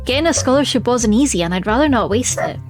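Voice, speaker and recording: A woman's voice: a little high, lively intonation, fast talker. A woman streaming a game, chatting expressively and reading the story text aloud. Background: faint music.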